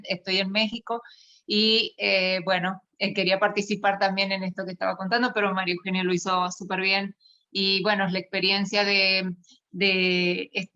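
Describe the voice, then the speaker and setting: A woman speaks up spontaneously in an online meeting, with a forceful, bright voice and little melodic movement. Her phrasing is hesitant and broken. The call sound is slightly muffled. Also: medium pitch. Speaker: teacher, co-author of the talk. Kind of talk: spontaneous remarks at an online conference. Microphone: video call. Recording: dull lo-fi internet call.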